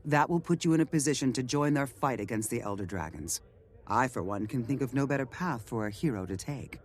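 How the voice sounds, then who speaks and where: An actor playing a noble veteran male hero in a fantasy video game, earnest and measured. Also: medium pitch, animated, close to an everyday voice. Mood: solemn, earnest. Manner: measured, solemn.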